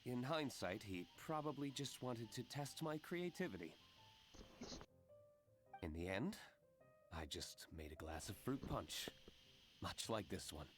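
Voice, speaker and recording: A man playing a composed, courteous young tavern owner in a video game, measured and reserved. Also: mid-range voice, very animated, soft background music. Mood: reflective. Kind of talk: video game character acting.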